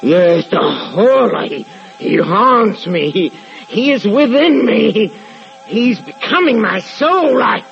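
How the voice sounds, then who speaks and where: An actor playing a haunted, paranoid man sliding into madness, halting and repeating himself in old-time radio horror style. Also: sits high, animated, vocal fry, fairly bright tone, faint music behind, full character voice. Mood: frenzied. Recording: hissy, muffled old radio recording.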